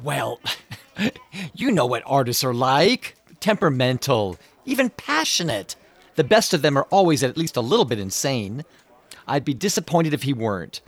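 An actor playing an eager, smooth-talking American showman in a radio drama, with a breezy salesman's manner. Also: medium pitch, big pitch swings, moderate pace. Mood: confident, amused.

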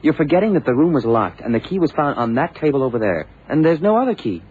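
A tinny, faintly noisy old radio recording of a sceptical male sidekick in a detective drama. His voice is mid-pitched and lively in its swings, with a colloquial, incredulous, plain-spoken delivery. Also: fast talker. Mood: baffled protest. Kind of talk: 1970s radio drama acting.

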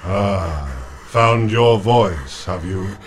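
An actor playing a cold, commanding male demon priest in a horror radio play, taunting and menacing. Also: a little hiss or hum. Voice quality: deep, slow and menacing. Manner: taunting, deliberate.

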